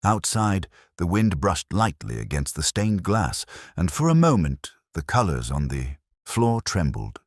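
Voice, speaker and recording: A man reading a scripted first-person detective story in a measured, reflective, literary manner. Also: low-pitched, big pitch swings, no echo, studio quality. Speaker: narrator.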